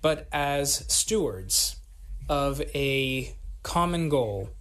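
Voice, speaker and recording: Man, live interpreter, formal speech, slow unhurried pace, halting phrasing. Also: mid-range voice, expressive, a bit bright.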